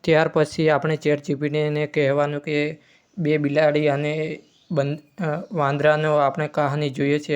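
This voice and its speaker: A man's voice: fairly high pitch, clear, resonant tone. A man hosting an informal step-by-step tutorial, using repetitive instructional phrasing. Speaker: tutorial host.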